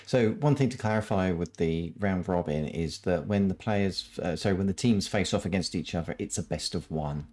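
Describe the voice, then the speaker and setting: A man casting a live strategy game tournament, explaining the format with many pauses and ums. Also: low voice, darkish tone. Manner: halting, many ums, laughs often. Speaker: esports caster.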